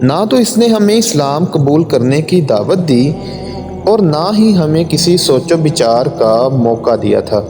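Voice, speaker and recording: A man's voice: on the high side, very animated. A man as an aggrieved priest, heavy reverb. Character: aggrieved male priest pleading his conquered city's case. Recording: long hall reverb, music underneath.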